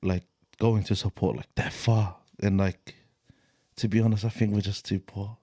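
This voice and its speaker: A young man with a New Zealand accent in casual podcast chat, relaxed and slangy, leaning on "like" and "bro". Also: fairly low pitch, moderate pace, no room sound, studio quality. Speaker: podcast co-host.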